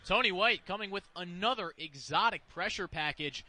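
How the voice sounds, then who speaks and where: A man's voice: sits high, expressive, normal speed, pushed and bright. A man with an American accent giving live color commentary on football in an explanatory, conversational way.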